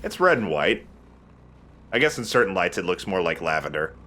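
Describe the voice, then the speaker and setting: A man's voice: low voice, very animated, normal speed, bright, forceful tone. A man hosting a let's play, giving casual commentary while he plays. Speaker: let's play host and player.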